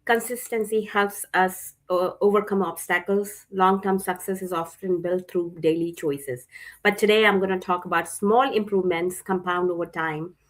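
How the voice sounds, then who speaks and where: A woman's voice: on the low side, steady tone, normal speed, poor audio. A woman from India giving a casual talk, a little hesitant with fillers. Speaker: guest speaker.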